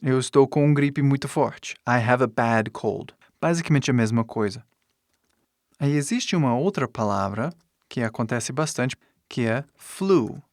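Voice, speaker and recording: Slow, deliberate male teacher, English-accented. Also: mid-range voice, expressive, dry recording, clean studio sound. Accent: English-speaking foreigner's accent in Portuguese. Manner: slow, deliberate, pausing between short phrases. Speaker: English teacher giving an online lesson. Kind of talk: instructional lesson with example sentences.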